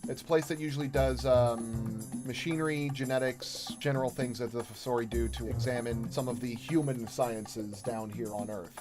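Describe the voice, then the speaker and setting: A man in his thirties acting as game master and stream host, narrating and chatting in a halting, conversational way. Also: medium pitch, steady tone. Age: 30s. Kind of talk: improvised tabletop game narration and casual chat. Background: music.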